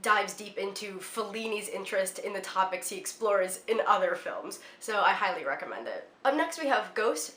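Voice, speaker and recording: A woman in her twenties talks casually about films she loves, her speech full of "like" and "um". She sounds a little congested from a cold, with a bright, forward tone. The room around her is echoey. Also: medium pitch. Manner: chatty, lots of "like" and "um" fillers. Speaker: film vlogger. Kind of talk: casual film recommendations to camera.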